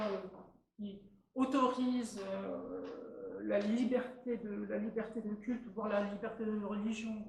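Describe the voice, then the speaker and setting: A woman in her sixties from Tunisia, giving an earnest, halting public talk at a meeting as an invited speaker, with many restarts. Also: mid-range voice, gravelly, darkish tone. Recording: very echoey room, faint noise.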